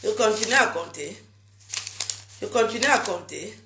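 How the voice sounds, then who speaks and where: A woman's voice: medium pitch, expressive, normal speed, roomy sound, background noise. A woman vlogging casually to her fans, chatty and teasing, scolding her viewers with a cold. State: has a cold.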